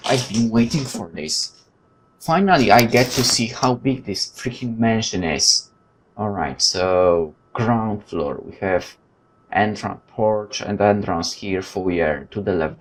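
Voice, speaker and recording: A man hosting a let's play, reading a visual novel's text aloud and adding informal, animated asides. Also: lowish voice. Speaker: gaming video host.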